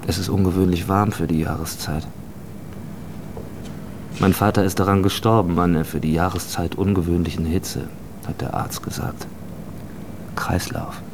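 An actor playing a calmly menacing, philosophising stranger in a radio drama, deliberate, probing and insistent. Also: male voice, low-pitched, noisy background. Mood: matter-of-fact. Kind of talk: German radio drama acting.

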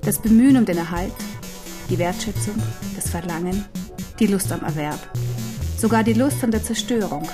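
An actress in a German radio drama playing a learned, patient non-human teacher who instructs precisely and firmly. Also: female voice, medium pitch, moderate pace, music in the background, lightly acted. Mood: reflective.

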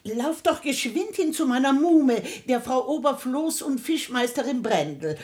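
Casting: pompous old matriarch, husky, swooping delivery, fussy and bustling, theatrical radio comedy. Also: female voice, high-pitched, animated, a little slow, a little mellow. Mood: flustered, fussy. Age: older. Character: bustling, self-important old small-town grandmother.

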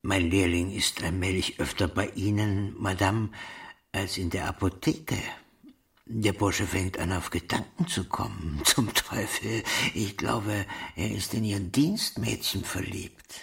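An actor playing an insinuating, gossipy pharmacist in a radio drama, with a gravelly, husky voice. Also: male voice, low-pitched, unhurried, fairly bright tone, full character voice. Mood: sly, amused. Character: gossipy, insinuating pharmacist, a grown man teasing a lady.

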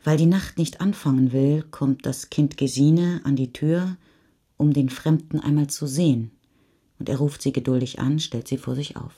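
An actress playing the sober, measured narrator of a post-war chronicle in a German radio play. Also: female voice, sits low, fairly even intonation, dark tone, slightly rough. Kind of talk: literary reading in a radio play.

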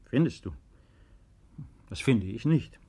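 An actor playing a principled middle-aged father and estate owner in 1950s radio drama style, speaking in measured, deliberate, earnest phrases. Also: medium pitch, lively intonation, mellow, slightly rough, full character voice. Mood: measured, explaining.

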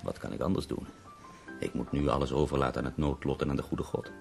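An actor playing a bedridden, dying poet who speaks in ornate, wry, theatrical phrases in a radio drama. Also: male voice, very deep voice, steady tone, quick pace, vocal fry, a little mellow, faint music behind. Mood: bitter, defensive.

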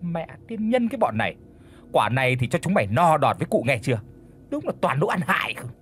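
A furious old village chief barking curses in a high, strained voice that swings wildly up and down, as a man puts him on. His husky tone cracks as he scolds servants. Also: very animated, brisk, a bit bright, full character voice. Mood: grumbling. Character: cranky old male village chief, foul-mouthed.

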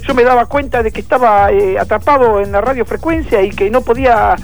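A man playing a distressed, pleading and halting radio caller trapped without a body inside a phone call, in improvised radio theatre. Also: very high-pitched, faint music behind. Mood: anxious, bewildered.

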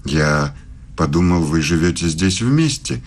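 A middle-aged man as a philosophy professor in a radio play. His voice is deep, his speech formal and measured, with a suave, inquisitive edge. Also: animated, heavily acted. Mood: formal, probing.

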